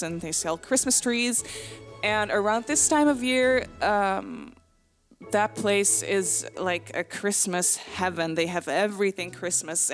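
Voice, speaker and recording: A young woman with a light Dutch accent rambles along in a relaxed home voiceover, laughing softly at herself. She talks in a mid-pitched voice, full of ums and restarts. Faint music plays under her. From Netherlands. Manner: chatty, giggly, self-deprecating, with many fillers and restarts. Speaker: Sims speed build vlogger. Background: soft background music.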